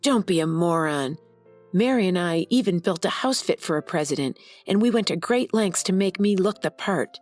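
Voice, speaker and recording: A woman's voice: fairly low pitch, lively intonation, moderate pace, darkish tone, faint music behind, close to an everyday voice. A woman voicing a haughty, entitled brother. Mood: arrogant. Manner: haughty, demanding. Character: arrogant entitled brother, man, boastful.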